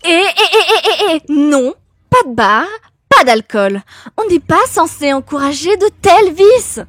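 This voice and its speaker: A woman's voice: very high voice, sing-song, measured pace, fairly bright tone, no echo, studio quality, faint music behind, heavily acted. A woman voicing a stern, protective demon woman, disapproving and insistent, in an amateur cartoon fan dub. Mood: indignant. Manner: scolding, insistent.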